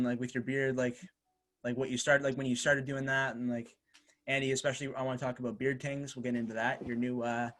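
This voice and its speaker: Young male host, halting and filler-heavy. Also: medium pitch. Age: young adult. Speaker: podcast host. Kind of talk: casual chat.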